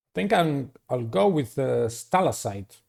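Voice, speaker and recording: A man doing a playthrough of a visual novel, reading it aloud and commenting in a deliberate, halting way. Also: mid-range voice, expressive, creaky, a little mellow. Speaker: gamer playing and narrating a visual novel. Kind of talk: dramatic read-aloud with casual playthrough commentary.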